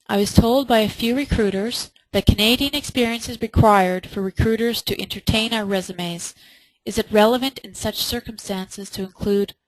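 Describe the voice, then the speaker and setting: A woman's voice: mid-range voice, no room sound, low-quality recording. Emphatic woman teaching a webinar, dry sound. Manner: emphatic, asks rhetorical questions. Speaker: webinar presenter and resume instructor. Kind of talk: webinar teaching, answering participants' questions. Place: indoors, online webinar.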